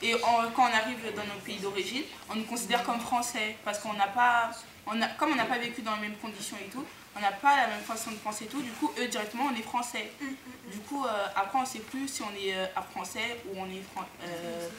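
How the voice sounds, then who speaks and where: Halting teen girl in an echoey room. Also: on the high side, fairly even intonation, a bit bright. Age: teens. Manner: halting, frequent "euh" pauses. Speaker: student in a group discussion. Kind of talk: casual debate. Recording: echoey room with background noise.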